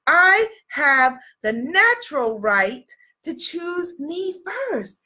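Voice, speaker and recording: Woman coach on a live stream, kinda high voice, sniffly cold, cheering folks on with affirmations. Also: very animated, very slow, bright, forceful tone. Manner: encouraging, repeats affirmations, reads out viewer comments. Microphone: live video stream.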